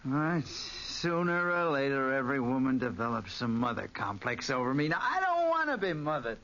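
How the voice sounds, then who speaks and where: An actor playing a reckless, tipsy American gambler, flippant and theatrical, in a 1940s radio play. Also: male voice, medium pitch, sing-song, moderate pace, a bit bright. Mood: cocky, boozy. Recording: muffled, noisy 1940s radio recording.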